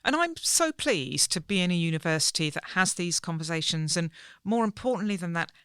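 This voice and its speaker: A woman's voice: on the low side, animated, a little fast, fairly bright tone, clear, resonant tone, dry recording, studio quality. A middle-aged British woman, a podcast guest, speaking thoughtfully with hesitant fillers. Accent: British English. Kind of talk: conversational podcast talk.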